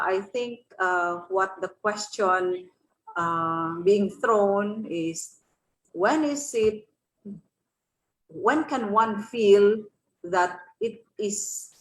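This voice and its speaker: Slow Filipino woman in a very echoey room. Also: medium pitch. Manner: halting. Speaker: resource person answering questions. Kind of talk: thoughtful unscripted answer. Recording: roomy echo on online call.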